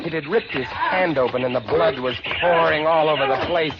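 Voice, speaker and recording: An actor playing a haunted lighthouse keeper, narrating a siege in theatrical, deliberate 1950s radio drama style. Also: male voice, a little high, very noisy, natural voice. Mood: tense, dread. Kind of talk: 1950s radio drama acting, suspenseful first-person narration. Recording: dull old radio recording with loud music.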